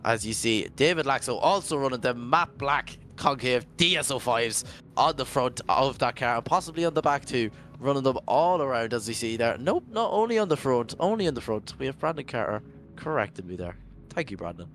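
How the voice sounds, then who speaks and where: A Scottish man commentating a virtual drift event in a jokey, rambling way, his voice worn and going hoarse. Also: medium pitch, lively intonation, a little fast, a bit bright, faint music behind. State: losing his voice. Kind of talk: live esports commentary.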